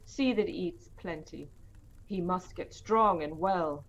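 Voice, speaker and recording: A woman's voice: fairly low pitch, takes it slowly, dull audio. An actress playing a fond, worried working-class Victorian mother in an audio play, homely and bustling in manner. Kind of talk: audio play acting, old radio drama style.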